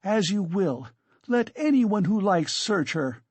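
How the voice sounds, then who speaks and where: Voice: mid-range voice, big pitch swings, slow, darkish tone, dry recording, voice pushed up. A narrator voicing a hysterical, fiercely proud and indignant poor woman in a dramatic audiobook reading. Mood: defiant frenzy. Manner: shrieking, frantic, exclamatory.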